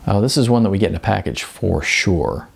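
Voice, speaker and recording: Casual young store clerk, snarky and chatty, done by a guy reading, low voice that swings around a lot. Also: sing-song, brisk, vocal fry, husky, full character voice. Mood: dismissive. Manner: offhand, chatty, dismissive. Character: young male video store clerk, blunt and wisecracking. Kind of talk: audiobook character voice.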